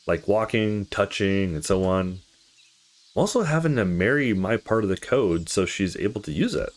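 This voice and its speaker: A man reading visual novel dialogue aloud in a casual, expressive way, as a let's play host. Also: lowish voice.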